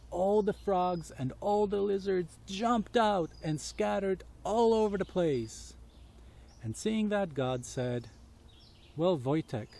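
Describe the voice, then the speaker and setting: A man's voice: fairly high pitch, mellow. A man tells children's stories outdoors in a simple, inviting way, speaking directly to his young listeners. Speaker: storyteller reading for children. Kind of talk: children's storytelling.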